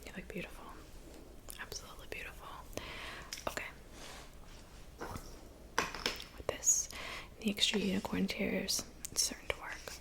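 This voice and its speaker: A woman's voice: mid-range voice, little pitch movement, poor audio. Woman as a whispering, kindly witch. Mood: soothing, reassuring. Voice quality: soft whisper with some creaky murmur. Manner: gentle, reassuring, unhurried personal attention. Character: kindly cabin witch mixing potions, doting and soothing. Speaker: ASMR performer. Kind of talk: ASMR roleplay.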